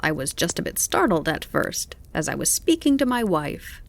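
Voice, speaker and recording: A woman voicing a cross, self-important male grasshopper in an animal storybook, rasping and grumbling curtly. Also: on the low side, sing-song, normal speed. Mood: defensive. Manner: curt, grumbling.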